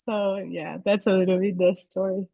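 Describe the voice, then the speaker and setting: A woman in her forties with a Venezuelan accent, a guest artist talking over a video call in a thoughtful, halting way, often restarting her sentences. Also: mid-range voice, little pitch movement, vocal fry, mellow, no room sound. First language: Spanish. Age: 40s.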